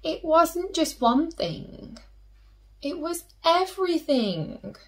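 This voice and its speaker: A woman who teaches and hosts story time, reading a picture book aloud to children in an expressive way, drawing out key words and dropping into hushed asides. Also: very high-pitched. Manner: slow, animated storytelling with drawn-out emphasis and hushed asides.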